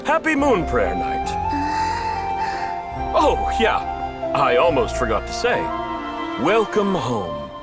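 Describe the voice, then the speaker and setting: A man's voice: a little high, huge pitch swings, extremely slow, pauses often, exaggerated voice. A man voicing a friendly, jovial festival townsman in a video game. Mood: jovial, welcoming. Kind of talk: scripted video game acting. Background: loud music.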